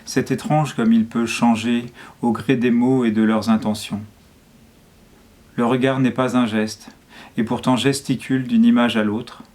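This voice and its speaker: Man reciting poetry in an even monotone. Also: mid-range voice. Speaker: poet reading a poem. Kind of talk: poetry reading.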